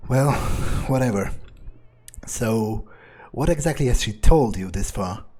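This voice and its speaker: A man role-playing an arrogant, disdainful vampire in a gameplay video, musing in a husky voice with halting, foul-mouthed phrasing. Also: mid-range voice, animated, a little mellow. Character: arrogant, contemptuous male vampire musing to himself. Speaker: gamer role-playing in character. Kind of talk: in-character gameplay monologue, improvised. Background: music.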